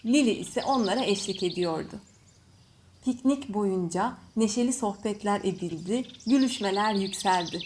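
A woman storyteller reading a scripted children's bedtime story in measured phrases. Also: medium pitch, darkish tone. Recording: faint background noise with birdsong. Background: birds chirping.

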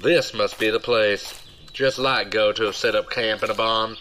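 An actor playing a glib, self-serving young rogue and old pal in an audio drama, casual in manner. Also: male voice, fairly low pitch, steady tone, moderate pace, bright, forceful tone, slight background noise. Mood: musing, scheming.

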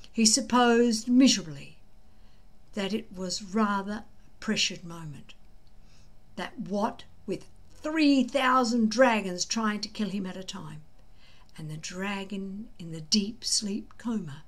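An older woman reading a children's book aloud slowly and deliberately, with dramatic emphasis. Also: medium pitch. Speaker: storybook reader. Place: small indoor room at home.

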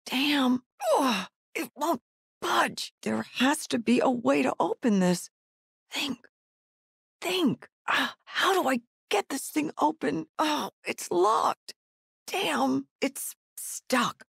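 A woman's voice: high-pitched, expressive, slow, creaky, no echo, studio quality, exaggerated voice. A woman playing a frightened, desperate mother in a survival horror video game, with pleading, gasping delivery. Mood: frustrated. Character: desperate, frightened mother searching for her missing son.

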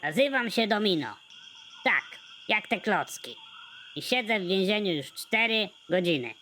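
A man voicing a gloomy, hapless convict in an animated comedy, rambling along in an earnest deadpan. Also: sits high, a little slow, slight background noise, exaggerated voice. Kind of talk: amateur animated comedy monologue. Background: music.

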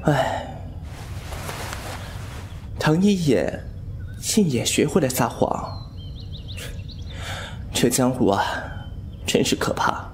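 A man's voice: mid-range voice, big pitch swings, slow pace, long pauses, a little hiss or hum. A man playing a young wuxia wanderer in an audio drama, teasing and sardonic, given to sighs. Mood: musing, sardonic. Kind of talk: Mandarin audio drama acting. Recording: background music under voice.

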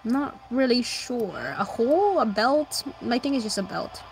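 A young woman streamer with a slightly high voice talks and giggles casually while she draws, reading chat and humming to herself. Her voice sounds a little hoarse, and a faint hiss runs underneath. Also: lively intonation, a little slow, low-quality recording. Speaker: livestreamer drawing anime fan art.

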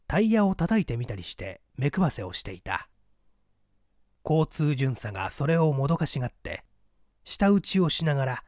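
A man narrates a mystery tale as a practised reader would. His voice sits a little high and moves through a wide, animated range. The sound is dry, and the delivery is expressive and dramatic. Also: no room sound. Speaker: audiobook reader, small-theatre actor.

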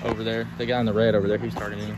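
Man giving excited play-by-play outdoors. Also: lowish voice, racing, noisy background. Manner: chatty, excited running commentary with laughs. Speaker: vlogger filming a camp game. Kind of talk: casual play-by-play commentary. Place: open grassy field outdoors. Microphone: phone camera held by the filmer.

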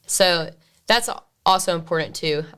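A woman's voice: on the low side, a little slow, fairly bright tone, clear, resonant tone. A woman explaining things conversationally, with an earnest, emphatic way of talking. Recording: guitar music playing behind. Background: guitar music.

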